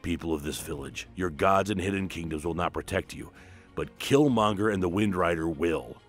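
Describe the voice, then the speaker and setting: A man's voice: deep voice, moderate pace, breathy edge, soft background music, voice pushed down. A man voicing a defiant masked African rebel warrior from a comic book, grave and commanding. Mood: defiant proclamation. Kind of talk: comic book story retelling.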